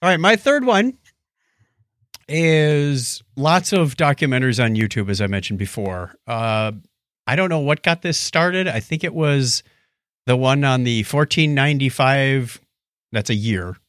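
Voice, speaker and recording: A man's voice: mid-range voice, animated, normal speed, a bit bright, no echo. A man with a mid-range voice chats as a podcast co-host. He tells stories in an informal way, voicing the people he quotes. The sound is dry, with no room around him. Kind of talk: casual chat, recounting stories.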